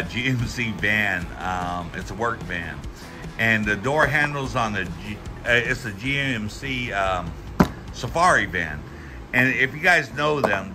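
A middle-aged American man giving a casual, folksy hands-on product review, talking in a halting, rambling way with repeated words. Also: medium pitch. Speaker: tool review channel host. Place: indoors at a workbench.

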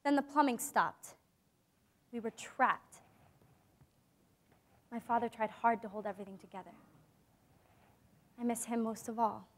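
A young woman playing an earnest, hopeful teenage girl in hiding, in a dramatic stage reading with deliberate phrasing. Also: a little high. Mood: sorrowful recollection. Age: young adult.

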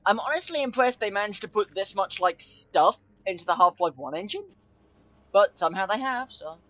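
Wry male streamer, high voice, dull lo-fi mic, game commentary. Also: expressive, moderate pace, sharp tone. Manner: wry, jokey asides. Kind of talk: casual gameplay commentary.

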